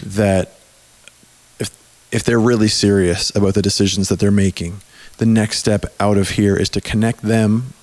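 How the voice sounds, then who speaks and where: A male podcast host talks in a loose, easygoing way, often restarting his sentences. His voice is hoarse and nearly gone, which leaves it sitting a little low. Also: level tone, moderate pace, darkish tone. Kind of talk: casual podcast chat.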